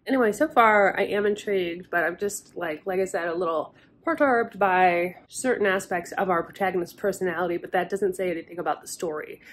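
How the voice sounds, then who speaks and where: A woman's voice: lowish voice, fairly quick, a little hiss or hum. Thirtysomething woman rambling about a book into her phone, chatty, swears a bit. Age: 30s. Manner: chatty, frank, swears casually. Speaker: book vlogger. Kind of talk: casual reading vlog chat. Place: hotel room and car, indoors. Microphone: handheld phone camera at arm's length.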